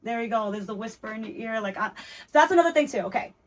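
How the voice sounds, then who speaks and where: A woman singer chatting casually on a podcast, animated and expressive, talking freely with slang. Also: on the high side, fast talker.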